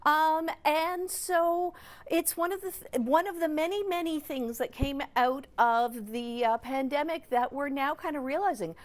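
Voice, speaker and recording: A woman with a Canadian accent explaining things conversationally as an expert guest in an interview, with an animated storytelling manner. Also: high voice, measured pace. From Newfoundland and Labrador, Canada. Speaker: psychologist, regular TV guest expert.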